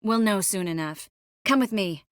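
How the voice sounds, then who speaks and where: An earnest, quick-witted young sorceress from a fantasy video game acted by a woman. She speaks with tenderness at a middling pitch, in dramatic dialogue. The sound is dry and close, free of any room. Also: lively intonation, smooth, dry recording, lightly acted.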